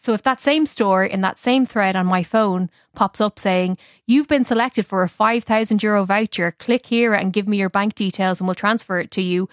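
A woman's voice: mid-range voice, normal speed, few pauses, no echo, clean studio sound. A woman with an Irish accent speaking as a technology correspondent, explaining things in an informal, conversational radio style.

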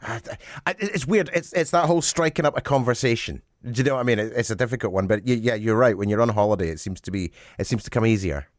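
A man's voice: medium pitch, fast talker, hardly any pauses, dry recording, studio quality. A Scottish man co-hosting a casual chat, bantering and asking questions. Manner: laughs often, warm banter. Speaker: radio co-host.

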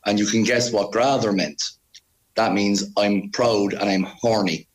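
Lively Cork man, low voice. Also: a little slow, rough recording. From Ireland. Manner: chatty, laughing storyteller. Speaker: football coach. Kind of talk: casual interview chat.

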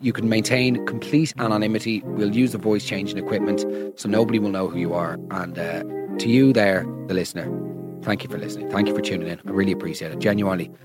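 An Irish man hosting a comedy podcast, reacting to listener stories in an animated, exaggerated, mock-outraged way. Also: on the low side, fast talker, loud music behind the voice. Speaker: podcast host.

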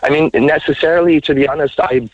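A man's voice: fairly high pitch, fairly even intonation, quick pace, dry recording. A man in his twenties calling in to a radio show, talking in a rambling way full of fillers. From mixed, raised largely in the United States. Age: 20s. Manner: rambling, heavy with fillers like "you know" and "like". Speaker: caller. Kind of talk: radio phone-in call. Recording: muffled phone-in line.

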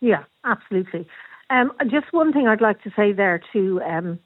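A woman's voice: medium pitch, lively intonation, moderate pace, slightly rough, no echo. A woman, a school principal, speaking firmly and informatively as a radio guest, with a few ums. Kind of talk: radio panel discussion by phone. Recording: tinny dry phone line.

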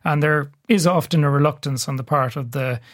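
A man with a Dublin Irish accent, a curator speaking as a radio guest, measured and fact-heavy, with frequent filler sounds. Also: a little high. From Ireland. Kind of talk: radio interview, explanatory.

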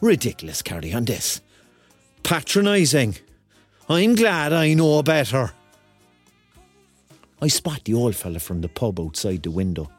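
A touchy cork lad in a radio play, indignant n rambling, voice up and down, music under it. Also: male voice, medium pitch, sing-song, measured pace, pauses often, slightly rough. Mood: wry, casual. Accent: Cork Irish. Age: 30s. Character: touchy, defensive thirty-year-old man from Cork. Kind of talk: radio drama monologue and dialogue.

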